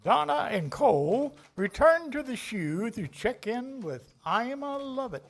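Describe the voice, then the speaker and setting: A man performs a showy fairy-tale host for a stage parody. The voice sits high and swings widely in pitch, announcing every turn with theatrical emphasis. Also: sing-song, a little slow, vocal fry, a little hiss or hum, heavily acted. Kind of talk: theatrical scripted narration.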